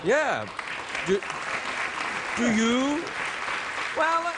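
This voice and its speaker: A man's voice: very high voice, very animated, drawn-out words, over a noisy crowd, very noisy. A man hosting a late-night talk show, teasing his guest with bawdy jokes and silly accents.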